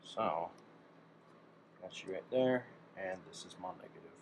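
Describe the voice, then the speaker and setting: A man's voice: low-pitched, measured pace, noisy background. A man thinking aloud in a casual, hesitant way, half talking to himself as he narrates hands-on hobby work. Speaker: DIY electronics hobbyist narrating his work.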